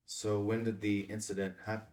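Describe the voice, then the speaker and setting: A man's voice: fairly low pitch, flat delivery, a little fast, a little mellow, close to an everyday voice. A man playing a businesslike investigator who asks direct, probing questions in a table read.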